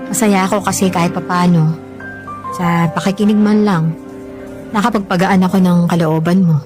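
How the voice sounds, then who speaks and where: An actress playing a kindly, concerned coworker who listens sympathetically in a Filipino radio drama, with conversational delivery. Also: female voice, on the low side, steady tone, moderate pace, lightly acted. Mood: sympathetic. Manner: gentle, sympathetic, chatty. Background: background music.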